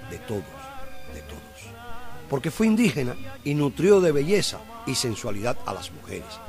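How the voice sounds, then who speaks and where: A man hosting a radio show, reading a poetic text in an earnest, declamatory way. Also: mid-range voice. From Cuba. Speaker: radio host.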